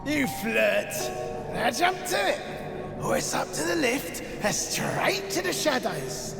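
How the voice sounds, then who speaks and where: A performer playing a crude, bullying overseer in a video game, with gravelly, coarse taunts. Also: high-pitched, very animated, slow, full character voice. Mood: jeering. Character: crude, bullying slave-driving overseer, jeering and cruel. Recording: echoing space, loud music.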